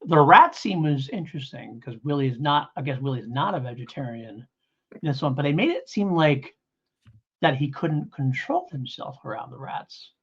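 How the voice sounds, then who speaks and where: Animated, husky man chatting. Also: medium pitch, normal speed, muffled recording. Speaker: podcast co-host reviewing audio dramas. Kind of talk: casual review chat.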